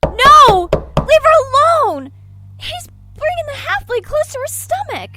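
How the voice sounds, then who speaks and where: Alarmed nun, extremely high voice, swooping pitch, breathless, halting, excitable, audio drama, slight noise. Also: female voice, full character voice. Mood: panicked. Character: frightened, excitable nun witnessing horror.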